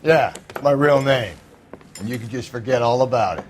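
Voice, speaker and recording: A man's voice: medium pitch, moderate pace, slight background noise, close to an everyday voice. A man playing a world-weary, wry American detective partner in a video game, cynical and brusque.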